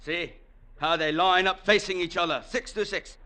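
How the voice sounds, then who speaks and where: An actor playing a proud, ruthless Chinese warlord general with imperious, formal speech, in vintage radio drama style. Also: male voice, sits high, bright, forceful tone. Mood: defiant, commanding. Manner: imperious, grandly formal, deliberate. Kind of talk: 1940s-50s radio drama acting. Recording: vintage radio broadcast recording.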